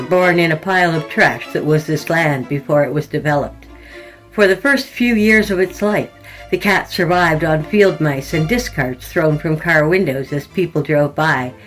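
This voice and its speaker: A woman hosting a fiction podcast and reading a scripted horror story in a deliberate, descriptive way. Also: low voice, faint music behind, poor audio. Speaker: podcast host and story narrator.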